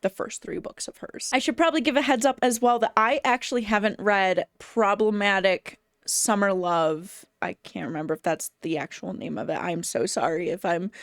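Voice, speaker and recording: A woman talks casually to her phone camera in a book review style. Her voice is smooth and clean at a medium pitch, with very little room sound. Her delivery is conversational and enthusiastic. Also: moderate pace, hardly any pauses, dry recording, studio quality.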